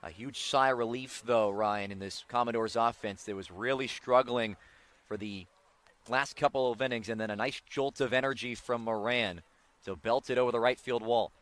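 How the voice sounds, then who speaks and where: American man, baseball play-by-play, crisp forceful delivery, dry sound, live radio commentary. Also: mid-range voice, no room sound. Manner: excited, drawn-out calls on big plays.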